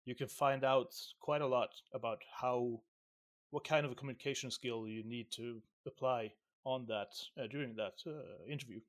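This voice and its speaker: A man's voice: mid-range voice, fairly even intonation, normal speed. Man from Syria, mid-range voice, loose talky co-host who rambles and restarts. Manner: rambling, restarts words, laughs easily. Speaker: podcast co-host. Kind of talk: casual podcast chat.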